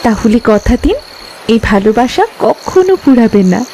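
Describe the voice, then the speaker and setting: A woman voicing a gentle young fairy princess in a cartoon tale, speaking in a formal, tender and earnest way. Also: fairly high pitch, animated, moderate pace, a little hiss or hum. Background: music.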